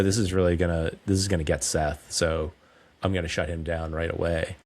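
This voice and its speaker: Deep-voiced man in his forties. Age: 40s. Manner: candid, measured storytelling. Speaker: guest, coach and speaker. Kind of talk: reflective podcast interview.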